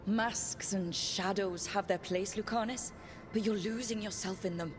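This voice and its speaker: An actress playing a firm, loyal heroine in a video game, speaking earnestly and reassuringly. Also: female voice, mid-range voice, fairly even intonation, a little slow, background noise, lightly acted. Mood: firm, caring. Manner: earnest, determined.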